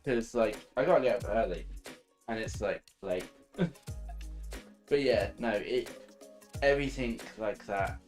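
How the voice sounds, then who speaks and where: A man's voice: on the low side, a little slow, pauses often. A tired man chatting casually as a livestream guest, hesitant and often trailing off. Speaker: livestream guest and roommate of the host. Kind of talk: casual chat. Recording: music behind the voice. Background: music.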